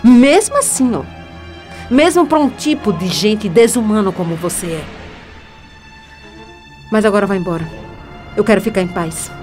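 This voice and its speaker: An actress with a Brazilian accent playing a defiant, self-righteous young student in a theatrical, taunting radio soap style. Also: female voice, medium pitch, animated, normal speed, long pauses, a little mellow, soft background music, close to an everyday voice. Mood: cool, dismissive. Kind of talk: radio soap opera acting.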